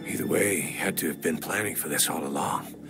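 Brooding military figure, low creaky voice, hushed, ominous, video game monologue, loud scary music. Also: male voice, brisk. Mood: suspicious, brooding.

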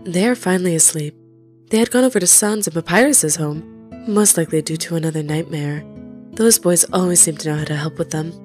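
Woman doing cartoon goat mom, low and soothing, kinda worried, proper way of talking. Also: brisk, darkish tone, soft background music, natural voice. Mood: gentle, concerned. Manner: formal, tender, soothing. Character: gentle, worried motherly goat monster. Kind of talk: animated fan comic dub.

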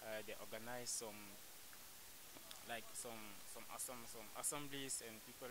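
A young man from Cameroon answering street interview questions outdoors, pausing and repeating words as he searches for them. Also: mid-range voice, fairly even intonation, very noisy. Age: young adult. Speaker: interviewee, foreign student. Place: outdoors on a campus walkway. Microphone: handheld microphone held by interviewer.

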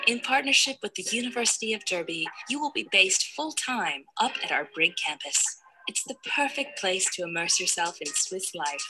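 Woman doing slick promo voice-over, clear music behind, upbeat and polished. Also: mid-range voice, rough recording. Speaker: promotional narrator. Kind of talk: scripted advertising narration.

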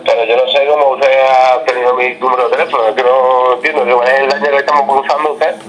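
A man's voice: medium pitch, level tone, quick pace, a bit bright. A man answering an unexpected phone call in conversation, puzzled, repeating himself and asking questions. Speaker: prank call recipient.

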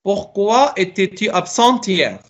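A man's voice: high voice, expressive, slow pace. A middle-aged man teaching a French class over a video call, calling on students and patiently correcting their answers. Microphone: laptop mic on a video call.

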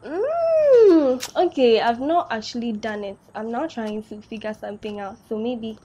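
A woman's voice: on the high side, expressive, a little slow, mellow, muffled recording. A young woman playing a student chatting on the phone in a short sketch. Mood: unhurried, thoughtful. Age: young adult. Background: music.

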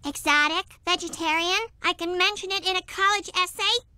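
Know-it-all cartoon girl, high, bright, lively, dry. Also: normal speed, dry recording, exaggerated voice. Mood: eager. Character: smart earnest cartoon girl, about eight.

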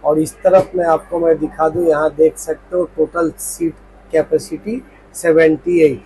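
A chatty man in his thirties walks viewers through a train, talking to them as friends with a slightly higher voice. His phone mic, held at arm's length, picks up a faint hum of station and carriage sound. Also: flat delivery, unhurried, warm tone, poor audio. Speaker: travel vlogger. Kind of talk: casual travel vlog tour. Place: train platform and train coach interior.

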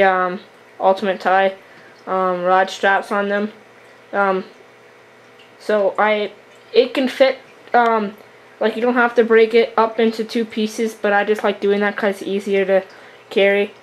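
A young woman from Canada giving a casual, halting gear tour as a fishing vlogger, recorded at home on a camera microphone. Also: mid-range voice, flat delivery. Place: room at home with wooden floor. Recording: noisy amateur camera recording, handling clicks.

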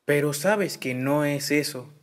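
A young man playing a kind, protective robot boy in an animated fandub, in a gentle, earnest manner. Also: a little high, unhurried, natural voice. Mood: gentle, reassuring. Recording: dramatic music under the voice. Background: music.

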